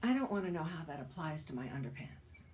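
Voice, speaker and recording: Woman with a lowish voice reading an essay. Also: very animated, fast talker, darkish tone. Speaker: audiobook narrator, actor and author reading an essay. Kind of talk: read personal essay.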